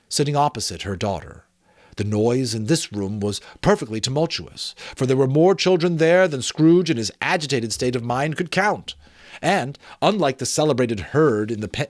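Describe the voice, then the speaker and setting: A man reading an audiobook as a dramatic, expressive storyteller, with casual asides. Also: mid-range voice, no echo, studio quality. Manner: expressive, theatrical. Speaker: amateur audiobook narrator.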